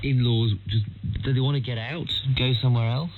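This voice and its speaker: A man's voice: medium pitch, steady tone, a little fast. A man presenting and interviewing on a radio news broadcast, heard over muffled shortwave with static. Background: static and hiss.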